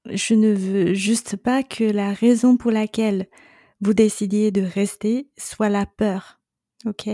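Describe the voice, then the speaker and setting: A middle-aged woman hosts a solo coaching podcast in a calm, reassuring tone. Her voice is mellow and warm, and she takes her time over each phrase. The sound is dry and close, with no room around it. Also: medium pitch, takes it slowly, no room sound. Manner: calm, reassuring, speaks directly to the listener. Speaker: separation coach and podcast host.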